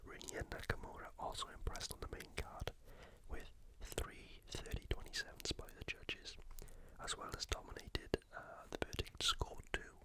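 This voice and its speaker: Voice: moderate pace, low-quality recording. Casting: ASMR creator for sports commentary, all in whisper, conversational and a little hesitant. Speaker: video creator giving fight reactions.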